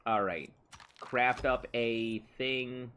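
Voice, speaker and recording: Bright-voiced man playing a game. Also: mid-range voice, extremely slow, slight background noise. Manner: playful, rambling, chatting with someone in the room. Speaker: let's play host. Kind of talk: casual gameplay commentary.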